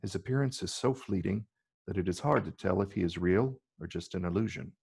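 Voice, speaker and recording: A man with a gravelly, husky voice reading scripted screenplay narration. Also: low-pitched, fairly quick, a little mellow. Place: virtual call from separate location.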